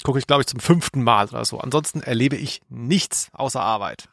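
A man's voice: mid-range voice, a little fast, gravelly, a bit bright, no room sound. A man co-hosting a podcast, chatting casually with offhand, dry humour. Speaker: podcast co-host. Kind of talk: casual chat.

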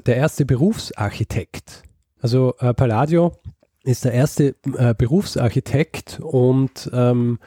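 A man's voice: mid-range voice, dark tone, no room sound, clean studio sound. A man telling a story in casual chat, hesitant and full of ums and restarts. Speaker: podcast host telling a story.

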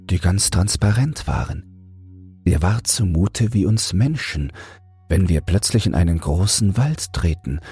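A man narrating a fairy tale as a bedtime audiobook, in a calm, measured storytelling manner. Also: sits low. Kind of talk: scripted fairy-tale narration for falling asleep.

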